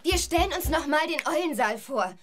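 An actress playing a bright, determined, quick-thinking young girl in a children's audio drama. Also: high voice, expressive, heavy background noise. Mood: eager explaining.

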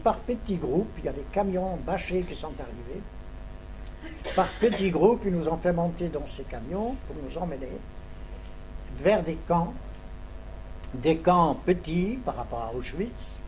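Elderly male survivor, native Yiddish speaker, slightly high voice, emphatic testimony in a classroom with faint background hum. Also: a little mellow. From Poland. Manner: emphatic, deliberate storytelling with dramatic stresses. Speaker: Holocaust survivor giving testimony. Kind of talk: spoken testimony to a school audience. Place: indoors, classroom. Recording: noisy room recording with hum.

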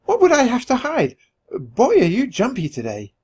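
A man's voice: very high voice, wildly swooping pitch, normal speed, a little mellow, husky, voice pushed up, full character voice. A man voicing a sassy, scheming female mouse reporter in a storybook, with a teasing, dismissive manner.

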